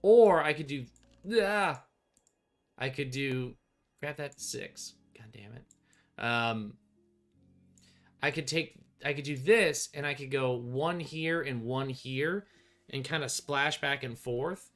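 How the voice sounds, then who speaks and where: A middle-aged man hosts a solo tabletop game in a casual, thinking-aloud style. His voice sits at an average pitch, with wry, playful exclamations. Music plays behind him. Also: slow, a bit bright. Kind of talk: casual play-through commentary, thinking aloud. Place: small indoor room with bookshelves.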